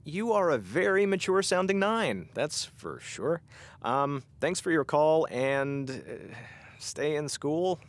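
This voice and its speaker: An actor playing an awkward, hesitant small-town radio host who rambles dryly in an audio comedy. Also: male voice, fairly high pitch, close to an everyday voice. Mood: awkward, deflated.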